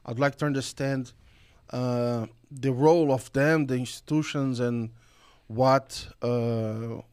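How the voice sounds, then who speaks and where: A man's voice: mid-range voice, steady tone, slow pace, a little mellow, smooth, dry recording, studio quality. A man hosting an interview podcast, asking questions in an enthusiastic, loose way with many fillers. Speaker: podcast host.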